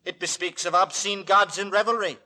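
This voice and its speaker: An actor playing a quiet, fanatically pious gentleman lodger in a 1940s radio horror drama, formal and courteous but feverish with scripture. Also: high voice, steady tone, brisk. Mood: feverish outrage. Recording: vintage 1940s radio, music behind.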